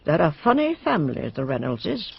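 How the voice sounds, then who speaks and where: Husky, gossipy village woman. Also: low voice, huge pitch swings, moderate pace, no room sound, muffled recording, exaggerated voice. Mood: spiteful, gossipy. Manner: knowing, insinuating, blunt. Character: gossipy, suspicious village woman with dark hints. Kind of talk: radio drama acting.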